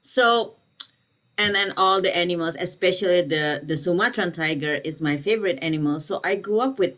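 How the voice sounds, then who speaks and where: Woman, from Indonesia, middle-aged, lowish voice, rambling panel talk, many fillers. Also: moderate pace, fairly bright tone. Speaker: panel speaker. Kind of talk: casual conference talk.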